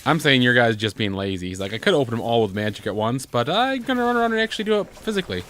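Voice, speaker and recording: A man's voice: medium pitch, very animated, very fast, fairly bright tone. A man with a bad cold giving casual, joking game commentary with dry sarcasm as a co-host. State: has a bad cold, coughing. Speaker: gaming video co-host. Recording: noisy room, game sounds behind.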